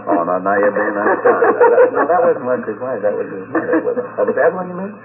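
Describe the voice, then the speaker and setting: A man hosting an unscripted radio panel talk, speaking in a halting, deliberate way. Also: fairly low pitch, very animated, a loud crowd. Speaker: radio talk show host. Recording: tinny, noisy 1950s radio recording.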